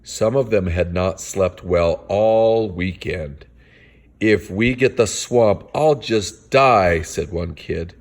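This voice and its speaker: A man's voice: low voice, takes it slowly. A man reading a picture book aloud as a father to kids, with playful, theatrical delivery and casual asides.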